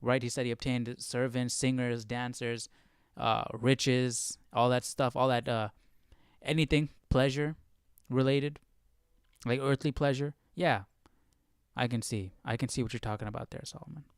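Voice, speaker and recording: A man's voice: mid-range voice, steady tone, moderate pace, smooth, no room sound, clean studio sound. A man hosting a Bible study livestream, talking casually and off the cuff, searching for words and stretching phrases for emphasis. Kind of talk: casual unscripted commentary.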